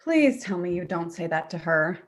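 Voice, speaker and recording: A woman's voice: on the low side, very animated, moderate pace. A woman playing a hurt, sarcastic adult daughter in a staged play reading, dry and confrontational with her mother.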